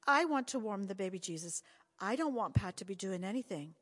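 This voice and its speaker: A woman voicing a stubborn, secretive six-year-old girl who speaks quietly and earnestly. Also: medium pitch, lively intonation, a little fast, big-room sound.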